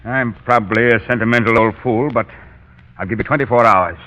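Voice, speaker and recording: An actor playing a kindly, sentimental old judge, gruff and hesitant, in a 1930s radio drama. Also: male voice, mid-range voice, fairly quick, bright, forceful tone, close to an everyday voice. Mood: torn. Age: older. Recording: muffled old radio recording.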